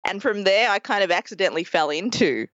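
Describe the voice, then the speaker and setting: A woman in her thirties with an Australian accent, a podcast producer chatting as a guest in a lively, bubbly way, laughing often. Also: medium pitch, quick pace, no room sound, dull audio. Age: 30s. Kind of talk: casual interview chat.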